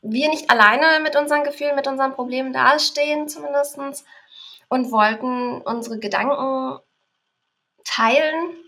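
A woman's voice: sits high, normal speed, sharp tone. A twenty-seven-year-old woman from Berlin, a podcast guest, talking in a cheerful, somewhat hesitant way. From Berlin, Germany. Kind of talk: casual interview talk.

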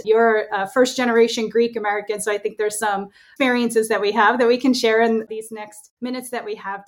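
A woman's voice: on the high side, a bit bright. A woman hosting a podcast, introducing and welcoming her guest with enthusiasm. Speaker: podcast host.

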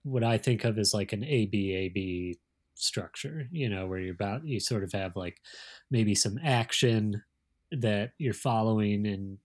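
A man with a fairly low voice speaks casually as an editor being interviewed. He is thoughtful and explanatory, feeling his way through each point in a halting manner. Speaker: magazine editor, interview guest.

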